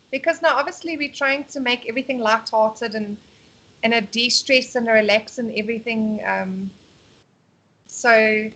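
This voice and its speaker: Husky-voiced South African woman co-host. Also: a little high, fairly bright tone, poor audio. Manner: chatty, laughs often, reads out viewer comments. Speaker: co-host of a live stream. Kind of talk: casual chat.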